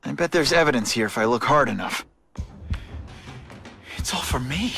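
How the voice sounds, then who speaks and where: An actor playing a wisecracking, indignant young superhero with a sarcastic, quippy way of talking in a video game. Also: male voice, mid-range voice, animated, moderate pace, vocal fry, pushed and bright, soft background music. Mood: troubled, determined.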